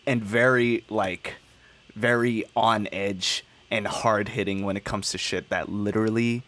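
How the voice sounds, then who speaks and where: A man's voice: on the low side, fairly even intonation, unhurried, fairly bright tone. A young man of about twenty chatting casually, rambling and swearing for emphasis as he makes his points. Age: 19, turning twenty. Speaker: podcast host. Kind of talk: casual chat.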